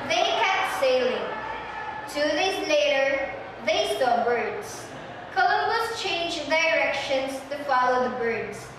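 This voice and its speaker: A woman's voice: high voice, very animated, slow pace. A young woman reading scripted narration aloud as a narrator in a student readers' theater. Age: young adult. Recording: distant mic, very echoey hall, noisy.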